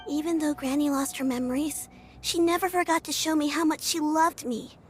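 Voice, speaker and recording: A woman's voice: high-pitched, fairly even intonation, normal speed, soft background music, lightly acted. A woman playing an earnest, polite, shy young girl in a video game, speaking hesitantly. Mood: earnest, wistful.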